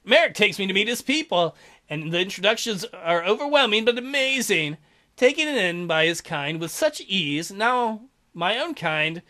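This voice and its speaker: A man's voice: high-pitched, sing-song, moderate pace, sharp tone. A man hosting a let's play, reading a visual novel aloud and breaking in with crude, mocking jokes.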